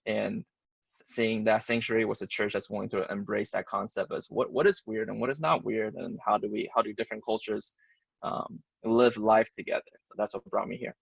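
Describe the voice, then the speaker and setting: A man's voice: on the low side, level tone, fairly quick, vocal fry, slightly rough, no echo, low-quality recording. A man born in Taiwan and raised in the United States, a church member sharing his story reflectively in a panel over a video call. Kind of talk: personal testimony in a discussion.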